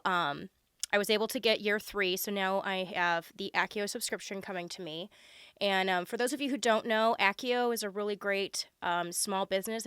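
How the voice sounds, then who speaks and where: Woman vlogger, hoarse and stuffy, chatty with lots of ums, smooth tone, dry close sound. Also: medium pitch, fairly bright tone, dry recording. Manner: chatty, lots of ums, gushing over items. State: hoarse and congested from being sick. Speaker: unboxing vlogger. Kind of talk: casual unboxing chat.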